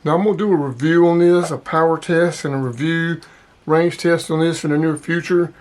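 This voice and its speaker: Higher-voiced man, casual unboxing chat. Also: fairly even intonation, fairly quick, a little mellow, a little hiss or hum. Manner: informal, thinking aloud while handling gear. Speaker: vlogger unboxing radio gear. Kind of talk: casual hands-on unboxing commentary.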